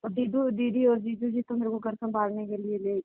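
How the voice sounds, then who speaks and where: A woman's voice: a little high, fairly even intonation, moderate pace, dry recording, lightly acted. A woman playing an aggrieved, insistent young woman in a dramatized phone argument, complaining and repeating herself. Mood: hurt. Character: aggrieved, insistent young divorced woman confronting older lover. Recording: muffled, narrow tinny phone line.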